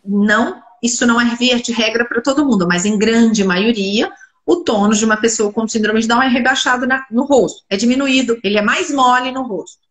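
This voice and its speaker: A woman's voice: a little high, fairly quick. Stuffy-nosed mineira lady, speech therapist, asks herself questions then answers, roomy echo on the call. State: congested with a cold. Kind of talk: explanatory interview talk. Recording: roomy echoey room sound.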